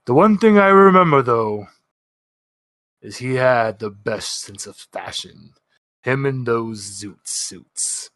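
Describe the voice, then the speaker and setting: A man voicing an ancient higher entity in a tabletop game, a grave, wistful and kindly mentor who speaks haltingly and dramatically. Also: expressive, a bit bright, no room sound.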